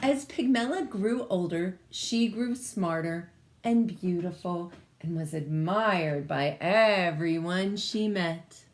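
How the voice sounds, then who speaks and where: A woman reading a children's story aloud expressively, adding casual asides as she goes. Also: fairly low pitch. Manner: expressive, pausing to comment on the pictures. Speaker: picture-book reader.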